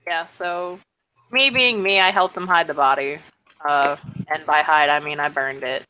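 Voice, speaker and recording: Woman player, low and sharp-toned, blunt and sweary, casual roleplay. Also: steady tone, measured pace, clean tone, rough recording. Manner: blunt and swearing, lots of fillers. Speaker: tabletop game player. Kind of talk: casual in-character roleplay chat.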